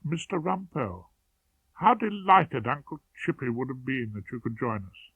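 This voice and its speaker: Band-limited, dry audio carries a genteel youngish woman, as a male reader plays her. She is gentle, polite and gushing, an audiobook character. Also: medium pitch, big pitch swings, measured pace, slightly rough, no room sound, exaggerated voice. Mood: gracious. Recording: narrowband, tinny radio-like sound.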